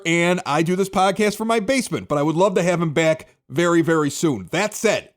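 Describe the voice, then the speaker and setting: American guy doing sports podcast commentary, kinda high voice, opinionated and emphatic, just talking it through. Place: home basement studio.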